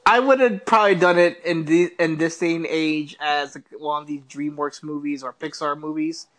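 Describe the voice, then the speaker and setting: Male co-host, high-pitched for a man, blunt and profane, relaxed joking movie banter. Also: normal speed. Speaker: podcast co-host. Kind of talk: casual joking movie chat.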